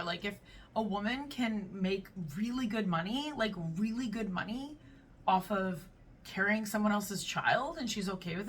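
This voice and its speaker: A woman's voice: medium pitch, fairly bright tone, recorded from a distance, a little hiss or hum. A woman hosting a casual reaction video, chatting and reading online comments aloud. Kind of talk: casual reaction to read-out posts.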